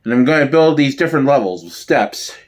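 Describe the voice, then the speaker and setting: A man's voice: mid-range voice, animated, a little fast, voice pushed down, natural voice. A man voicing an eccentric, overconfident neighbour in a sitcom reading, breezy about his grand schemes. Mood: dreamy confidence.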